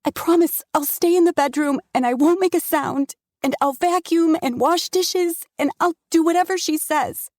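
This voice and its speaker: A woman's voice: moderate pace, hardly any pauses, darkish tone, dry recording, clean studio sound. A woman doing a desperate pleading teenage girl. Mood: begging. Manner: pleading, tearful, high-pitched.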